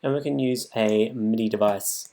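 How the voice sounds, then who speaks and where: A man's voice: lowish voice, steady tone, moderate pace, dark tone. A man giving a casual, improvised music production tutorial, thinking aloud as he works.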